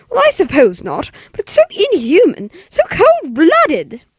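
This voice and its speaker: A woman's voice: extremely high pitch, wildly swooping pitch, takes it slowly, no echo, heavily acted. A woman playing an anxious, demanding bedridden wife in 1940s radio play style, with fretful, stammering, melodramatic delivery. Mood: agitated, pleading.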